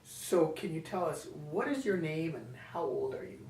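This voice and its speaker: A man's voice: on the high side, big pitch swings, moderate pace, a little mellow, roomy sound, far from the mic, slight background noise. Man asking questions off-mic. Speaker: interviewer. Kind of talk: interview questions.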